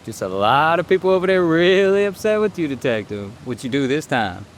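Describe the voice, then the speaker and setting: An actor playing a wary, sly street hustler and police informant in a horror audio drama, talking in streetwise slang. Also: male voice, a little high, sing-song, a little fast, slight background noise. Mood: confiding, sly. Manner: streetwise slang, speaks of himself in third person.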